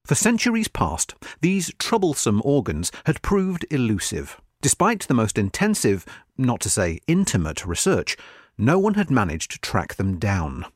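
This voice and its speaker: A man narrating a scripted history magazine article in a measured, articulate way. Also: mid-range voice, wildly swooping pitch, dry recording, clean studio sound.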